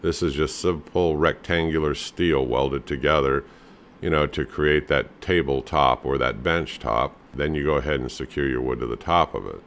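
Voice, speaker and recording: Middle-aged male DIY host, very low voice, easygoing and plain-spoken, filler-heavy delivery. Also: moderate pace. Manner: relaxed, plain-spoken, fillers like "you know" and "um". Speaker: home handyman host. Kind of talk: casual instructional talk over photos.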